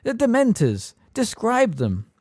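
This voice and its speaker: A man's voice: very high-pitched, wildly swooping pitch, a little slow, darkish tone, no room sound, studio quality, pitched above the performer's own voice, exaggerated voice. A man reading an audiobook voices a stern female judge who speaks sharply, coolly and impatiently. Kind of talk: audiobook character voice.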